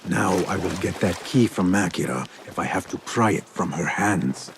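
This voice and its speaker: An actor voicing an exasperated young infiltrator posing as a servant, dry and measured, in a video game cutscene. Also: male voice, low-pitched, expressive, gravelly, slight background noise. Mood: impatient, muttering.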